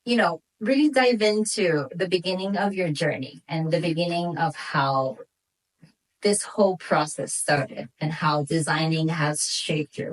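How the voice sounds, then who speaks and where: Low-voiced woman host, slightly off-mic. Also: recorded from a distance, rough recording. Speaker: interview host. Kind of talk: casual conversational interview.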